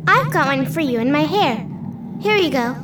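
A woman's voice: high voice, animated, normal speed, noisy background. A woman playing a curious, friendly young girl adventurer in a radio play. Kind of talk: amateur radio play acting.

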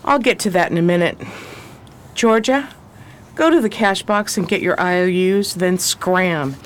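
An actress playing a shrewd, steely adventuress with a sardonic, commanding manner in a 1920s crime radio drama. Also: female voice, lowish voice, animated, moderate pace, slight background noise, close to an everyday voice.

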